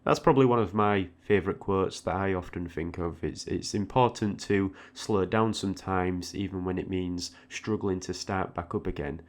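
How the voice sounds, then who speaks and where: A man's voice: sits low, normal speed, few pauses. A man giving an informal, reflective audio log, often repeating words hesitantly as he goes. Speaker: art tutorial creator, channel host.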